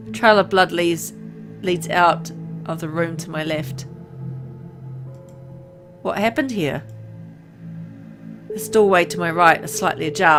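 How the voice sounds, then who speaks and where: A woman voicing a gravely ill man who is turning into a hungry vampire, reading the first-person game text aloud in her own plain voice, with game music underneath. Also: fairly low pitch.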